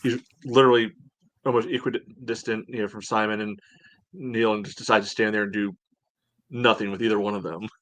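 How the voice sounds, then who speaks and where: A man chatting casually as a sports podcast host, breaking down play in a halting, rambling way full of verbal padding. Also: lowish voice, fairly even intonation, fairly bright tone, dry recording. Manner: halting, rambling delivery peppered with "you know". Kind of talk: casual sports analysis chat.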